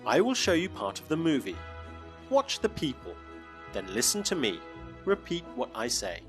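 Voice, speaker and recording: Very animated male English teacher. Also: on the high side, soft background music. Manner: slow, clearly modeled phrases for repetition. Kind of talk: scripted lesson narration.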